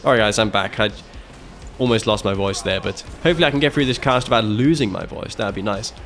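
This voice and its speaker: Low-voiced man casting a strategy game. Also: fast talker. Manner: animated and analytical, with frequent "uh" fillers and restarts. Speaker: video game caster commentating his own match. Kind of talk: casual play-by-play game commentary.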